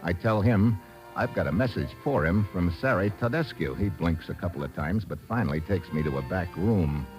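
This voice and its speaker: Casting: hard-boiled American gumshoe, low pitch, lively swings, slangy wisecracks, vintage radio drama with music behind. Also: male voice, moderate pace. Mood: wry, suspicious. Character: tough wisecracking American male investigator narrating his own case. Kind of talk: 1940s-50s American radio detective acting, first-person narration.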